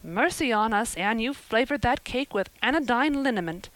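A woman voicing a stern, practical older guardian in a storybook, with a brisk, blunt, scolding manner. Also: lowish voice, very animated, a bit bright, dry recording, rough recording. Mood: exasperated.

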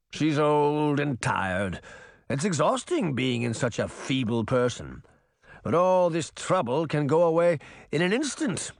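A man's voice: fairly high pitch, vocal fry, dry recording, clean studio sound, full character voice. A man voicing a sly, mocking mirror spirit villain in an audiobook, with a theatrical, mock-friendly, taunting manner. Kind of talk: audiobook character voice.

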